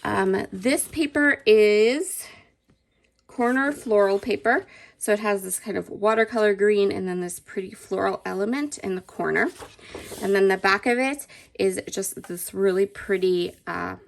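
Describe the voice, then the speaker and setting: A woman with a gravelly edge and a stuffy, allergy-worn voice chats eagerly at her craft table. She gushes over each paper in a casual, enthusiastic unboxing. Her pitch sits in an ordinary middle range. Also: measured pace. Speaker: scrapbooking channel host.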